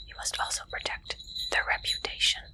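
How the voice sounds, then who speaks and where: A woman speaking in a soft, breathy whisper, playing an interviewer in an ASMR roleplay with slow, deliberate, deadpan delivery.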